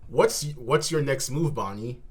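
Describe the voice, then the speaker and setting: A man hosting a live manga reaction, reading dialogue aloud and commenting with animated exclamations between slower read-aloud passages. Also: medium pitch, husky.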